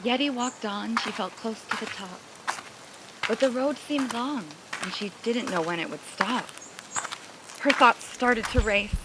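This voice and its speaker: A woman reading a rhyming children's story aloud in an expressive, gentle, deliberate way, with music behind her. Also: on the high side, very noisy. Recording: prominent music under the voice.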